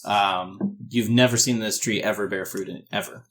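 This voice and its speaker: Low-voiced man narrating a tabletop game. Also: steady tone, fairly bright tone. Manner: halting, repeats and rephrases as he describes. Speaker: game master.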